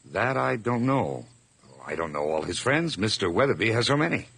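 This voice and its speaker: A man's voice: on the low side, big pitch swings, normal speed, vocal fry, a bit bright, slightly rough, no echo, full character voice. An actor playing a nervous, overly eager hired man who stammers through forced cheer in a radio drama. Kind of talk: 1980s radio drama acting.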